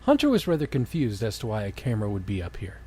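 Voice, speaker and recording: Animated man reading fan fiction aloud. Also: lowish voice, fast, breathy edge. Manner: jokey, often breaking off to comment. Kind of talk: comic dramatic reading with casual banter.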